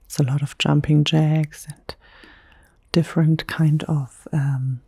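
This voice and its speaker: A German woman, a native German speaker talking in English, whispering softly in a gentle ASMR chat. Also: deep voice, flat delivery, vocal fry, breathy edge, no room sound, studio quality. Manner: soft, slow, unhurried whisper with gentle pauses. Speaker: ASMR creator.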